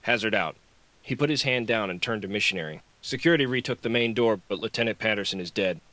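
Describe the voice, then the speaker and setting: A man's voice: on the low side, quick pace, sharp tone, dry recording. A man reading dramatic, scripted action fiction as an audiobook narrator.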